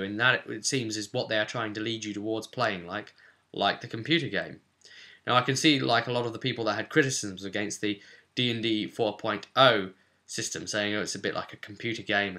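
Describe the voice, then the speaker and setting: A man's voice: lowish voice, pushed and bright. A man giving an informal, conversational tutorial in a chatty manner, as if explaining game rules to a newcomer. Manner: chatty, checks in with "okay?" and "right?". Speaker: presenter of a tabletop roleplay primer.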